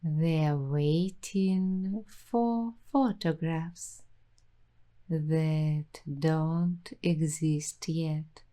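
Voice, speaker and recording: A woman hosting an ASMR roleplay, talking softly and slipping into whispers now and then. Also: low voice, fairly even intonation, drawn-out words. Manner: soft-spoken, slipping into whispers. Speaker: ASMR host.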